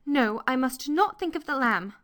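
Woman as an anxious high-pitched young girl. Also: very animated, moderate pace, pushed and bright, heavily acted. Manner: breathless, pleading. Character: anxious, earnest young girl, the responsible sister.

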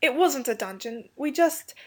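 A woman's voice: high-pitched, expressive, unhurried, darkish tone, pitched above the performer's own voice. A woman voicing an eager young English schoolboy in a storybook, earnest and hasty, stumbling over his slangy words. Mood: flustered explaining. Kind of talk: storybook character dialogue.

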